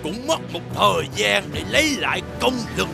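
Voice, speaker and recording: An actor playing a gloating male bat-winged demon in an animated fairy tale, menacing and taunting. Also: sits high, vocal fry, full character voice. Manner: menacing, taunting, drawn-out delivery. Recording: loud music behind the voice.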